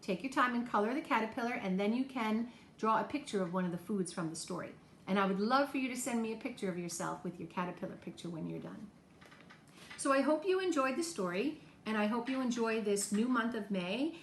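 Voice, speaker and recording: A woman's voice: on the high side, lively intonation, a little fast. Woman teacher addressing children, echoey room. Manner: encouraging, simple questions and explanations for children. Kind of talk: talking to young children in a homemade lesson video. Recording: echoey room, faint background noise.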